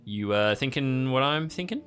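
A man's voice: medium pitch, lively intonation, moderate pace, fairly bright tone, pitched above the performer's own voice, close to an everyday voice. A man playing a wry, placating, confiding investigator in an improvised roleplay game. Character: Bernie, male investigator, calm, confiding, wry companion.

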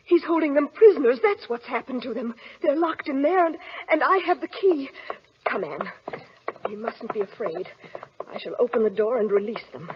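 An actress playing an innocent, anxious young bride with an earnest, melodramatic delivery in an old-time radio drama. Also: female voice, high-pitched, lively intonation, moderate pace. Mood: alarmed, panicked. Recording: noisy, muffled old radio recording.

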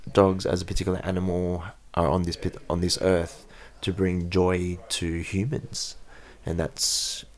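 Very low-voiced blunt man in his thirties. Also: level tone, measured pace. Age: 30s. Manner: frank, blunt opinions. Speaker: guest. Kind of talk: casual interview chat. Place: Japan.

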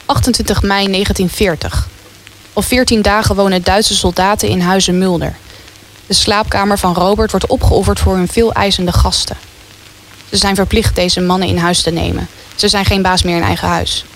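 A woman's voice: medium pitch, steady tone, normal speed. A woman reading scripted dramatic narration for a radio play. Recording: faint rain-like noise behind.

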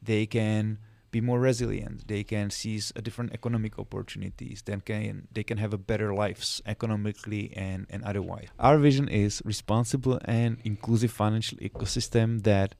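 A man from the Republic, a guest on an interview podcast, explaining his work in a measured way with some restarts. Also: fairly low pitch, flat delivery, gravelly, darkish tone, dry recording. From Czech Republic. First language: Czech. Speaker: guest, financial sector specialist.

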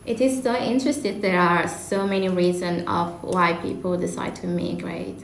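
A woman's voice: lowish voice, fairly even intonation, moderate pace, gravelly. A young woman from Italy with an Italian accent, hosting a student podcast discussion and stumbling over words as she presents. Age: young adult. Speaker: student host. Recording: echoey room with faint noise.

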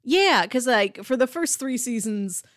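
A young adult woman co-hosting a podcast in relaxed, conversational chat. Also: fairly high pitch, unhurried, no room sound, studio quality. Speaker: podcast co-host. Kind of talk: casual chat.

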